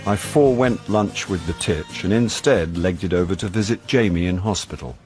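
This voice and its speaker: An actor playing a wry, ageing jobbing actor turned amateur sleuth in a radio drama, dry and self-deprecating. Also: male voice, sits low, moderate pace, over music, natural voice. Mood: wry narrating. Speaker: actor playing narrator and lead. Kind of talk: radio drama narration and dialogue.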